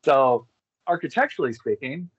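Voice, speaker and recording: Male interview guest, mid-pitched, rambling and conversational, with dry, slightly muffled sound. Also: very animated, moderate pace, husky, dry recording. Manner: rambling, thinking aloud. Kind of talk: casual interview chat about ancient history.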